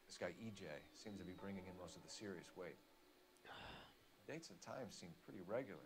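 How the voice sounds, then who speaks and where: An actor playing an earnest, businesslike young police detective with a clipped, matter-of-fact delivery in a video game. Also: male voice, low-pitched, lively intonation, moderate pace. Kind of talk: scripted game dialogue. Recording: game audio under clear background noise.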